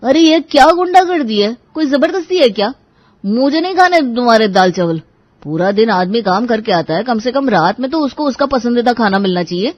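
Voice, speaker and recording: A woman's voice: fairly high pitch, animated, a little fast, no echo, muffled recording. A woman voicing a naive, indignant younger husband in a family cartoon, earnest and protesting. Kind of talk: Hindi family cartoon voice acting.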